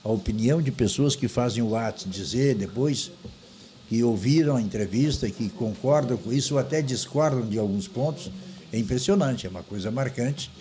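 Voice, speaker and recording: A man's voice: mid-range voice, normal speed, mellow. An older man hosting a radio interview in a discursive, avuncular, conversational way. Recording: noticeable background noise.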